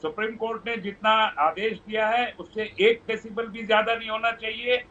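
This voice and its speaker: A man with a high voice speaks on a muffled remote feed. He is a measured debate panelist who repeats points for emphasis. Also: steady tone, bright, forceful tone, slight background noise. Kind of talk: live TV debate commentary.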